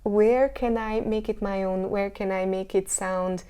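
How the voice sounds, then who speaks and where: A woman's voice: mid-range voice, steady tone, measured pace, mellow, clear, resonant tone. A woman with a Hungarian accent, a singer answering interview questions thoughtfully, often restarting her sentences as she searches for words. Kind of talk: casual interview talk.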